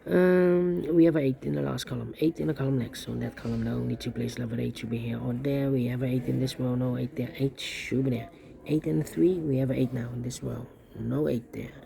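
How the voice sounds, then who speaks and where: Man, mid-pitched voice, soft dark tone, creaky, puzzle instructor, thinking aloud, repetitive muttering. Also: fairly even intonation, brisk. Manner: repetitive, muttering phrases to himself. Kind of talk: step-by-step sudoku tutorial, thinking aloud.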